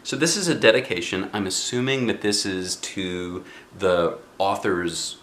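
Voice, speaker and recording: A man reading a children's book aloud in an expressive way, speaking directly to young listeners. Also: deep voice, a little slow, reverberant, slight background noise. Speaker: storybook reader.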